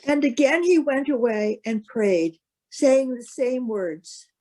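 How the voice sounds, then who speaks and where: Higher-voiced woman narrating scripture. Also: animated, slow, dark tone, low-quality recording.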